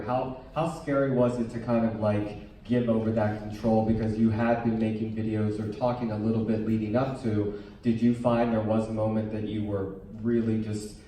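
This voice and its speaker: Man, moderator, low mellow voice, rambling questions, far mic, echoey hall. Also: little pitch movement, moderate pace, slight background noise. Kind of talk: moderating a panel Q&A.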